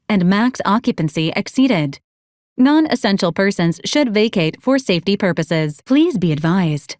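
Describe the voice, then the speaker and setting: A female robot assistant from a video game, acted by a woman, politely officious and literal. She delivers formal advisories in a clipped, deadpan manner at a middling pitch. The sound is dry, with no room around it. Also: dry recording, natural voice. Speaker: robot companion giving mission advisories. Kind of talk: scripted video game character lines.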